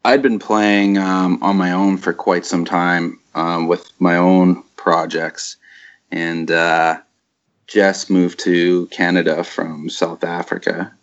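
A man speaking casually in an interview about his band, in a laid-back, unhurried way. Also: low-pitched, level tone, muffled recording. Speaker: band guitarist and vocalist.

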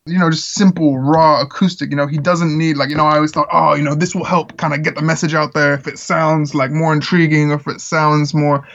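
A man's voice: on the high side, little pitch movement, a little fast, hardly any pauses, a bit bright, muffled recording. A man who grew up in the Czech Republic, a musician guest talking earnestly in a casual interview, with lots of fillers. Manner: earnest, rambling with frequent fillers.

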